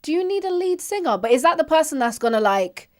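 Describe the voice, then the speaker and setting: A woman's voice: high-pitched, very animated, fast. Woman chatting casually on a podcast. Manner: informal, opinionated recapping. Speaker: podcast co-host. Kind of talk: casual chat.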